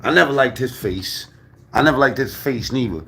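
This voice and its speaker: A man's voice: medium pitch, lively intonation, brisk, creaky, fairly bright tone, faint music behind. A man giving casual, joking reaction commentary on a video, loose and profane, often repeating himself. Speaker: reaction streamer. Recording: roomy echo, faint noise.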